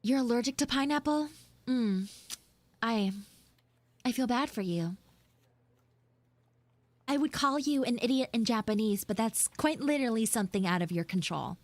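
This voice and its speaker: Woman playing a flustered, high-voiced tsundere schoolgirl. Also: animated, moderate pace, pauses often, darkish tone, no room sound. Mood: flustered, chatty. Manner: hesitant, flustered, coyly defensive. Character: flustered tsundere schoolgirl obsessed with frogs, shyly smitten. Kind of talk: improvised live audio roleplay.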